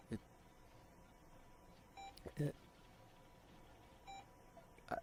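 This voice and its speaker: A man playing a devoted, grieving boyfriend at a hospital bedside in an intimate ASMR roleplay, speaking tenderly and haltingly with emotion. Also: mid-range voice, a little mellow. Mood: pained, tender. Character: devoted, grieving boyfriend visiting his comatose partner in hospital.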